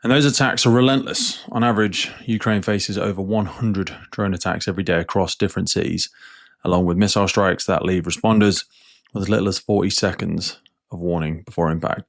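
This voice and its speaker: A man with a very low-pitched voice hosts a podcast. His delivery is earnest and measured, whether he is presenting a prepared piece or putting questions to a guest. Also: steady tone, gravelly. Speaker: podcast host. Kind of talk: scripted podcast introduction and interview.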